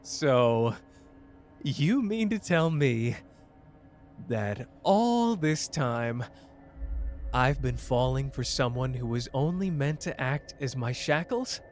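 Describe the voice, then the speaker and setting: A man playing a cocky but wounded young sorcerer in an intimate roleplay audio drama, with halting, emotional delivery. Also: medium pitch, lively intonation, soft background music. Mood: stunned, bitter.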